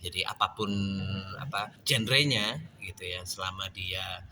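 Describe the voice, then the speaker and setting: A man at a panel discussion on mime, talking in a reflective, halting way with many fillers and restarts. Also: low-pitched, pushed and bright, slight background noise. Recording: clear background music.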